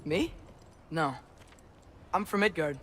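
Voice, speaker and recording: A man's voice: a little high, slow, a little hiss or hum. A man voicing a plucky, wry young male apprentice in a video game, with music behind. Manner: wry, dry, self-talking. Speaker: game character. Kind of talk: video game cutscene acting.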